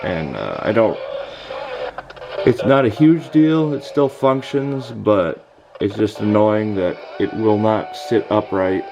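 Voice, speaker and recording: American male reviewer, low and gravelly, understated and hesitant, casual demo over faint noise. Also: expressive, takes it slowly. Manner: hesitant, understated, matter-of-fact. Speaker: product reviewer. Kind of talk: casual product demonstration.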